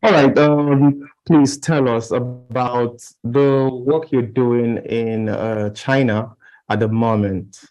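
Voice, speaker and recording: A man's voice: medium pitch, steady tone, takes it slowly, a little mellow, lo-fi sound, soft background music. A man hosting a live talk show and interviewing guests. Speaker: programme host.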